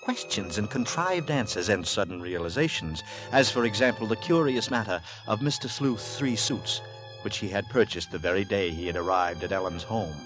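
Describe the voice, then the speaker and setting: A man narrating in 1940s radio drama style, literary, deliberate and suspenseful. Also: lowish voice, low-quality recording. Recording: vintage 1940s radio with music.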